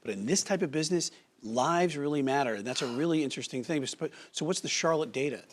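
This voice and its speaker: A man's voice: fairly high pitch, animated, quick pace. A man anchoring a TV newscast, mixing scripted reads with conversational questions. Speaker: news anchor. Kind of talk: broadcast news anchoring with conversational follow-ups.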